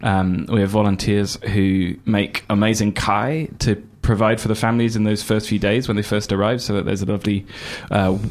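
Man, British accent with New Zealand touches, low voice, halting, enthusiastic, interview guest. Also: level tone, a little mellow. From United Kingdom. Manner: halting, many fillers and restarts; upbeat and enthusiastic. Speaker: Red Cross volunteer coordinator.

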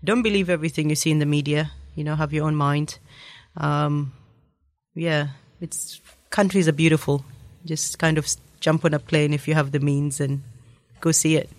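A woman's voice: low voice, steady tone, moderate pace, no echo. A woman in her thirties, originally from Kenya, thoughtfully telling her story in a casual interview with frequent ums. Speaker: interview guest.

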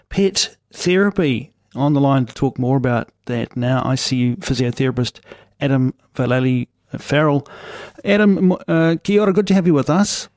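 A man's voice: medium pitch, darkish tone, dry recording. A man hosting a radio interview, asking friendly questions with small restarts. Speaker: radio host.

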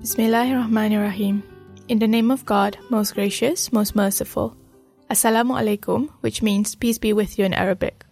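A woman radio host reading her introduction in a measured, formal way. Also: medium pitch, fairly even intonation, vocal fry, a little mellow, soft background music. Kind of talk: scripted radio hosting.

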